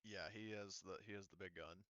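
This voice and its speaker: A man running a tabletop game casually as game master, halting, often muttering to himself and swearing lightly. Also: low voice, steady tone, pushed and bright, no room sound, muffled recording.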